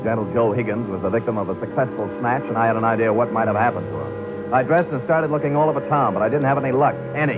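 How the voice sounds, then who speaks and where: An actor playing a wry, hard-boiled private detective who narrates in a dry, clipped, sardonic way, in a vintage radio drama. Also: male voice, medium pitch, natural voice. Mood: narrating. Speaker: narrator and lead. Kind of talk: 1940s radio acting. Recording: dull lo-fi old radio broadcast with music.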